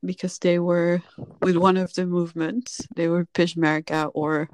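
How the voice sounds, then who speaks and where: A woman's voice: on the low side, level tone, normal speed, darkish tone, no room sound. A woman in her thirties from the Netherlands, a filmmaker guest telling her story candidly and reflectively in a casual podcast chat.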